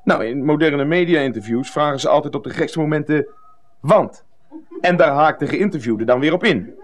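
An actor in a radio comedy playing a hearty, overbearing gym-teacher buddy with a jovial, bossy way of talking. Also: male voice, on the high side, lively intonation, moderate pace, a little hiss or hum, close to an everyday voice. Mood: smug explaining. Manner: jovial, bossy, loud exhortations. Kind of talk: comedic radio drama acting.

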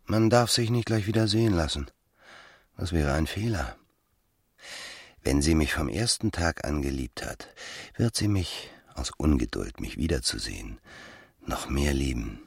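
Radio drama seducer: ardent, calculating, theatrical pauses, unhurried delivery, highly varied intonation. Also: male voice, big pitch swings, gravelly, full character voice. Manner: wooing, dramatic pauses, rhetorical.

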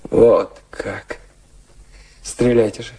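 A man's voice: lowish voice, level tone, very slow, dark tone, husky, exaggerated voice. An actor playing a lovesick, bitter and reckless man in a radio play, theatrical, brooding and commanding. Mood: desperate goading.

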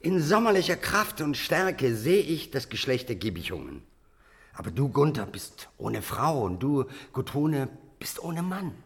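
An actor playing a scheming, hate-consumed illegitimate half-brother of a king in a fantasy radio drama, flattering and insinuating. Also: mid-range voice, slightly rough, heavily acted. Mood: persuasive scheming. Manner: flattering, insinuating, deliberate emphasis.

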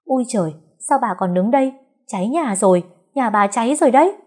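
A woman's voice: fairly high pitch, lively intonation, brisk, warm tone, pitched above the performer's own voice. A woman voicing an older village neighbour in an audio drama, exclaiming with urgent alarm.

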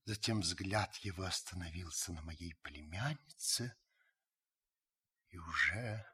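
An actor playing a grave, watchful older householder who narrates a radio drama, husky and breathy, with solemn dramatic pauses. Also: male voice, low-pitched, bright, forceful tone, full character voice. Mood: solemn, tense. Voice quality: husky, breathy, sinking to whispers. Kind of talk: dramatic literary narration, old radio play.

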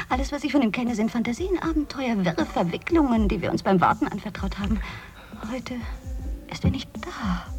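A woman's voice: fairly high pitch, darkish tone. An actress in a German radio play voicing a chatty, musing woman who confides in strangers, hesitant and often dropping into whispers. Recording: music under the voice.

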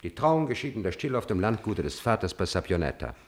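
Male courtier, radio drama: obsequious schemer, insinuating, calculating, extremely varied pitch. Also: sing-song. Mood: probing. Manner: measured, insinuating, deferential. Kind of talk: classical German stage drama, radio play acting.

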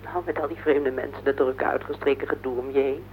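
A woman's voice: a bass voice, steady tone, quick pace, phone-quality sound. An actress playing a worried, insistent wife in her forties in a radio play, speaking earnestly and plaintively. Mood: reproachful, insistent. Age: 40s. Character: worried, insistent wife of forty-one, earnest and heavy-hearted. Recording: noisy, band-limited old radio play recording.

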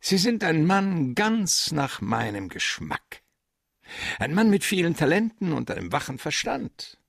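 Radio crime drama: fat, pompous middle-aged mastermind, husky and gravelly, swooping melodic delivery, courteous menace, close dry sound. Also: male voice, a little high, sing-song, measured pace, a bit bright, dry recording, exaggerated voice. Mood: suave. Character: fat, suave, calculating middle-aged male crime boss.

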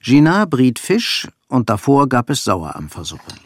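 A man giving measured, scripted literary narration for a radio drama. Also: mid-range voice, huge pitch swings, a little hiss or hum. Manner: measured, storytelling. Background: faint music.

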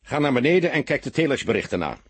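A man's voice: mid-range voice, very animated, fast, breathy edge, dry recording, pitched above the performer's own voice. A man voicing an authoritative senior police detective in an audiobook, stern yet kindly. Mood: commanding. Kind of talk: audiobook character acting.

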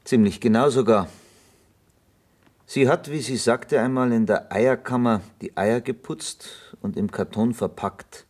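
An actor playing a persistent police detective in a German radio drama, questioning in measured, insistent short sentences. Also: male voice, medium pitch, darkish tone, natural voice. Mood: pressing. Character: probing, persistent male police detective inspector.

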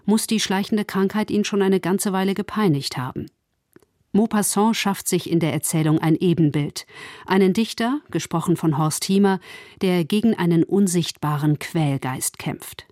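A woman reading a scripted introduction to a radio drama as narrator, measured, literary and composed. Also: fairly low pitch, darkish tone, no echo, clean studio sound.

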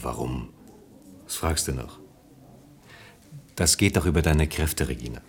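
An actor playing an exasperated, disapproving partner in a naturalistic radio play, stern and terse, with a very deep, rough-edged voice. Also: male voice, measured pace. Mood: reproachful.

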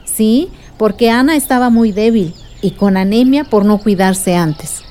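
An actress playing a caring aunt in a radio drama, patiently telling family history in a reassuring way. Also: female voice, medium pitch, unhurried, mellow, slight background noise, close to an everyday voice.

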